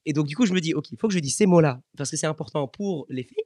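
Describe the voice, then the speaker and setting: A man's voice: fairly high pitch, rapid-fire, darkish tone, dry recording, clean studio sound. A bilingual man, the podcast host, who lived a long time in Australia, interviewing a guest in a casual, upbeat way.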